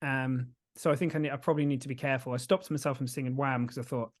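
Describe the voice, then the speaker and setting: A man's voice: mid-range voice, steady tone, quick pace. A man co-hosting a podcast in casual chat, with a jokey, tongue-in-cheek way of talking. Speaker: podcast co-host.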